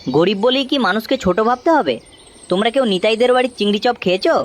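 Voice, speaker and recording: Woman as fast-talking, frank village traveller. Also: a little high, natural voice. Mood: earnest. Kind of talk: animated moral story dialogue.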